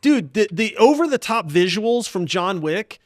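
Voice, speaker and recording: High-voiced man hosting a casual podcast. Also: sing-song, a little slow, dry recording, clean studio sound. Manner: enthusiastic, informal, addresses others as "man" and "dude". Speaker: podcast host.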